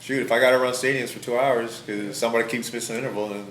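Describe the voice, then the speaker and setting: A man's voice: medium pitch, fairly even intonation, rapid-fire, fairly bright tone, slight background noise. A young man chatting casually and telling anecdotes, acting out the quotes as he goes. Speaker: podcast speaker, former college swimmer. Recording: roomy, echoing room sound.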